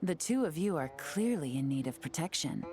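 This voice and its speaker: Low-voiced, shrewd woman agent. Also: expressive, warm tone. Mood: smoothly reassuring. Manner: composed, assured, measured explaining. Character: cool, confident, shrewd woman intelligence agent, composed and calculating. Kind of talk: game character dialogue. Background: faint music.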